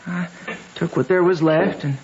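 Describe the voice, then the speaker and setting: An actor playing an orphaned Southern boy, telling his tale in a folksy, halting way in a radio drama. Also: high-pitched, steady tone, darkish tone, natural voice. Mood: mournful, earnest. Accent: American Southern. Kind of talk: old-time radio drama acting. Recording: dull, hissy old radio broadcast.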